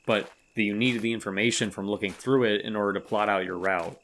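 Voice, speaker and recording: Low-voiced man giving developer commentary. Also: fairly even intonation, a bit bright, poor audio. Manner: measured, explanatory. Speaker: game developer.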